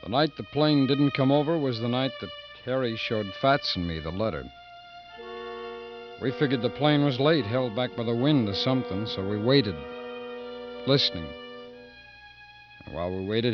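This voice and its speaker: An actor as a wistful convict narrator, dull old radio. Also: male voice, mid-range voice, moderate pace, long pauses, close to an everyday voice. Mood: wistful, reflective. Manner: reflective, folksy ungrammatical speech. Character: wistful male road-gang convict narrating his story. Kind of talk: 1950s radio drama narration. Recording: dull 1950s radio recording with music behind.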